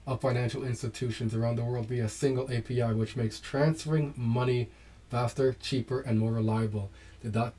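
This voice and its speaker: A man reads documents aloud in a mellow, unhurried voice. He stops often to address his viewers directly, urging them with animated exclamations before picking the text back up. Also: medium pitch. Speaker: host. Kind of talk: casual commentary while reading documents aloud.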